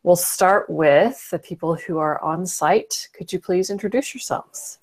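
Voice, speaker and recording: A woman's voice: on the low side, a little slow. A woman in her forties informally hosting a live group video call. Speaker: host of an online panel. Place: small indoor room with bookshelves, on a video call. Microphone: webcam video call.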